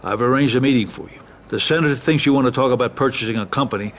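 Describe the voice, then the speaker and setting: An actor playing a calm, calculating middle-aged American mafia lawyer and adviser in a video game cutscene. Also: male voice, mid-range voice, very fast, a little hiss or hum, close to an everyday voice. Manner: advising, composed.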